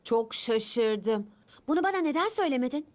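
A woman's voice: high voice, animated, moderate pace. A woman voicing an eager, curious young girl in a dubbed children's cartoon, with an earnest manner. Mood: concerned. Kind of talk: animated children's cartoon dub.

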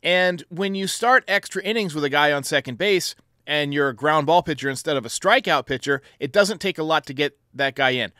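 Male sports show host, bright forceful delivery, clean close sound. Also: on the high side, brisk, no echo. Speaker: host of a baseball voicemail show. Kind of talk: sports commentary answering callers.

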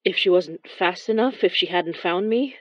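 A woman's voice: lowish voice, moderate pace, dry recording, close to an everyday voice. Wistful young female narrator for horror audio drama, played by a woman; confiding, apologetic, dry but slightly dull sound. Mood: reflective, confiding. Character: young Filipino immigrant woman, reflective, wistful, awkwardly apologetic. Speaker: narrator and lead of a horror fiction podcast.

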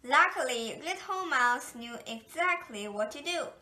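A woman reading a children's picture book aloud in a gentle, unhurried storytelling manner. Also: sits high, lively intonation. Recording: echoey room.

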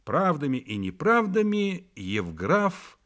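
A man narrating a literary radio play as the author's voice, measured and reflective, with deliberate pauses. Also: a little high, big pitch swings, a bit bright, exaggerated voice. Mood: solemn storytelling. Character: authorial narrator of a family novel, reflective and solemn. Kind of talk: scripted literary narration, dramatic radio reading.

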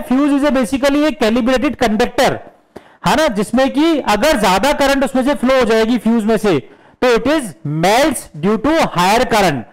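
A man's voice: very high-pitched, low-quality recording. A middle-aged Indian man with a headset mic, teaching electrical engineering at a whiteboard and answering his own questions as he explains. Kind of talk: whiteboard lecture mixing Hindi and English. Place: indoor classroom studio with whiteboard.

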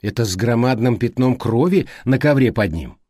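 A man acts out an older male detective from an audiobook. The voice is mellow and very lively in pitch. He is inquisitive and insistent, reasoning aloud with emphatic rhetorical questions. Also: normal speed, breathy edge, no room sound, clean studio sound. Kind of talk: audiobook character dialogue.

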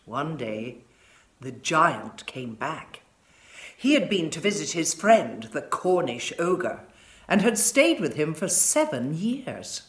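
A woman in her sixties tells a children's story at an unhurried pace. Her delivery is dramatic and measured, with pitch that rises and falls widely. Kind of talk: expressive reading of a children's story. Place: furnished living room, indoors.

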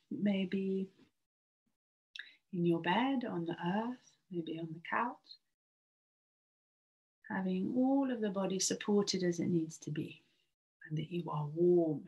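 A woman guiding a yoga nidra relaxation in a soothing, breathy manner that often lapses into a near-whisper. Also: mid-range voice, a little mellow, lo-fi sound. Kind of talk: guided relaxation meditation.

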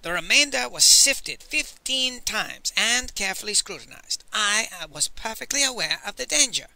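A male narrator acts out a crafty old sage from a storybook. He is haughty and quick to scold, in a high, piercing voice that swoops dramatically. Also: sing-song, bright, forceful tone, exaggerated voice, rough recording. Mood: huffy. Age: older. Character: crafty, irascible old Chinese sage, loudly theatrical.

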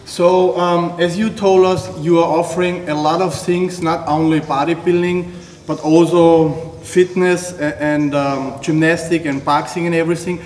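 A male interviewer, measured and a little hesitant. His voice is fairly high and very even in tone, heard in a reverberant room. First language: not English. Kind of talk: unscripted interview questions. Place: seated indoors in a gym lounge. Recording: echoey hall, faint background noise.

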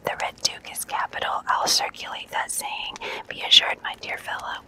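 A reader voicing a vain, boastful male musketeer in a breathy whisper, emphatic and theatrical. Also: moderate pace, natural voice, poor audio. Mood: delighted.

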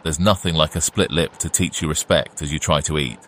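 A man's voice: a bass voice, steady tone, a little fast, fairly bright tone, slight background noise. An actor playing a sneering, menacing male deputy in a full cast audio drama. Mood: smug.

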